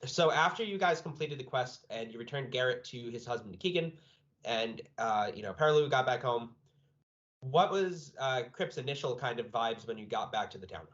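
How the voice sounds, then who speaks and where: A man's voice: mid-range voice, lively intonation, moderate pace, a bit bright. Man hosting a muffled game recap chat. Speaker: game master interviewing a player. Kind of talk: casual recap chat about a tabletop game. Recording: dull, lo-fi voice audio.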